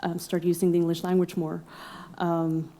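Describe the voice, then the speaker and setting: A woman's voice: lowish voice, completely flat delivery, moderate pace, dark tone. A young woman from Norway speaking in a casual podcast conversation, reflective and thoughtful, with frequent fillers and laughter. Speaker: exhibiting artist guest.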